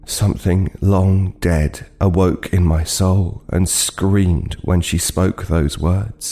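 An actor playing a haunted, guilt-ridden homeless man, telling his horror tale in a grave, deliberate, literary way for an audio play. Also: low-pitched, fairly even intonation, mellow, heavily acted. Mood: grim dread. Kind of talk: dramatic first-person horror narration, audio play.

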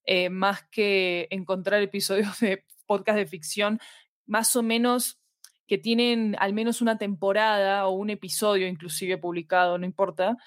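A woman's voice: mid-range voice, level tone, normal speed, no room sound. Woman with a close, dry sound. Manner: thoughtful, hedging, self-qualifying. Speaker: podcast guest, fiction editorial manager. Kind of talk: casual interview conversation.

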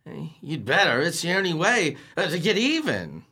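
Actor as a flustered, animated radio sitcom husband. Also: male voice, a little high, normal speed. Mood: blustering, wistful. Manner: stammering, flustered. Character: bumbling, excitable, proud sitcom husband and father. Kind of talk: old-time radio comedy acting.

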